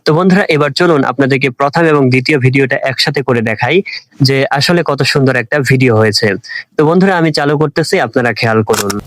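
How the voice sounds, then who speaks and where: A man's voice: mid-range voice, fast talker, fairly bright tone, no echo. A man hosting a tech tutorial, narrating step by step and addressing viewers directly as friends.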